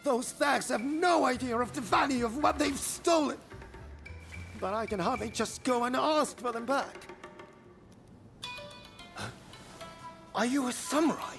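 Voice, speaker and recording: A man voicing an excitable, eccentric inventor in a video game, flustered and theatrical in his delivery. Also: extremely high pitch, normal speed, gravelly, faint music behind, exaggerated voice. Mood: nervous, scheming. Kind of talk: video game cutscene acting.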